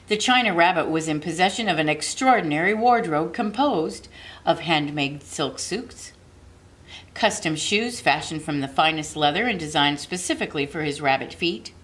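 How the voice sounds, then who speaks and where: A woman with a slightly low voice reads a children's storybook aloud. Her narration is expressive and deliberate, with measured phrases and dramatic emphasis. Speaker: audiobook reader.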